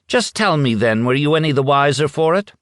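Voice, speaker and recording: A man's voice: on the high side, lively intonation, brisk, fairly bright tone, no room sound, studio quality, pitched above the performer's own voice. A man doing a scolding pious wife. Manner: scolding, self-righteous. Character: sanctimonious pious middle-aged woman.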